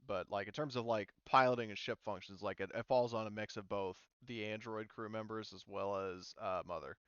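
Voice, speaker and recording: A man narrating a tabletop roleplaying game casually as game master, haltingly thinking aloud as he goes. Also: fairly low pitch, fairly even intonation, fairly bright tone, smooth, no room sound, dull audio. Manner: halting, thinking aloud while checking rules.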